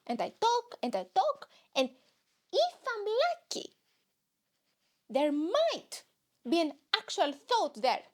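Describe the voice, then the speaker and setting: Woman with a Greek accent, fairly high voice, rambling comic podcast host, self-mocking. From Greece. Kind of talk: comic monologue.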